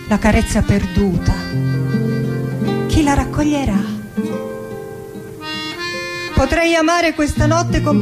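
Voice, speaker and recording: Proud, passionate female poet: stage monologue, highish voice, unhurried dramatic declamation, defiant streak. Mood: longing. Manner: declamatory, dramatic, lingering on words. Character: passionate, proud, defiant Argentine woman poet in her forties. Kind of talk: theatrical poetic monologue with music. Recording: voice over live musical accompaniment. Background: music with accordion and guitar.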